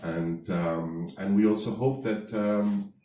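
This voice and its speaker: A man hosting an event, giving introductory remarks in a hesitant way with many pauses and fillers. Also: fairly low pitch, fairly even intonation, dark tone. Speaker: event organizer, host. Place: event space before an audience. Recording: echoey hall, distant mic, faint noise.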